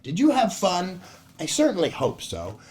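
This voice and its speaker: A man's voice: sits high, huge pitch swings, moderate pace, a little mellow, husky. An older man hosting a children's storytime, reading rhymes and chatting directly to young listeners in a theatrical, cheery way. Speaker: children's storytime host and entertainer. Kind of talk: rhyming read-aloud narration and cheery chat to children.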